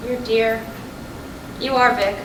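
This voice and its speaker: Woman acting a high-voiced, restless wife, echoey. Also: steady tone, takes it slowly. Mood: tender. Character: restless, fed-up, blunt middle-aged wife. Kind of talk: staged reading of a stage play. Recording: echoey room from far off, noisy.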